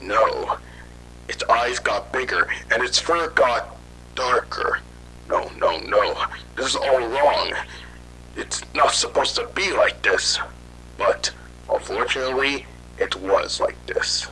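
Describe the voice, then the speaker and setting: A man playing a guilt-ridden scientist in hiding, telling his story haltingly in an amateur horror audio drama. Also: mid-range voice, sharp tone, slight background noise, rough recording. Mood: dismayed, grave. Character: guilt-ridden fugitive scientist father recounting his failed experiment.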